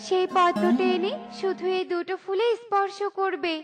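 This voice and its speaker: A woman acts out a garden fairy for a children's cartoon. The voice is extremely high. The manner is possessive yet soft-hearted, expressive and theatrical, over prominent music. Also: normal speed. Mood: apologetic, helpful.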